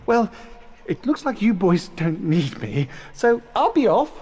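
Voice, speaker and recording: A vain, flamboyant wizard professor from a video game. The British voice is pompous and theatrical, swooping wildly in pitch and savouring the words at a slow pace. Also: male voice, sing-song, a little mellow, slightly rough, full character voice. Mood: nervous, breezy. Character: vain, cowardly, flamboyant middle-aged male wizard professor.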